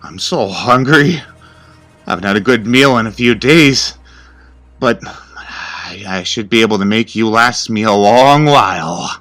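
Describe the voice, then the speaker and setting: A man's voice: on the low side, animated, a little slow, fairly bright tone, pitched above the performer's own voice. A man voicing a sadistic, hungry cannibal killer who taunts and savors in a horror story. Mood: hungry excitement. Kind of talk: horror story character acting.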